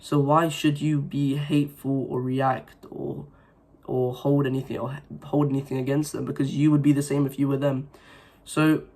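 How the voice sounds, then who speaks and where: A young man talks straight to his viewers with a sore, slightly scratchy throat from being ill. His delivery is earnest and rambling, full of fillers and restarts, at an ordinary pitch. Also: little pitch movement, moderate pace, a little mellow. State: sore throat, recovering from illness. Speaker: self-improvement vlogger. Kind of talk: casual motivational talk to camera.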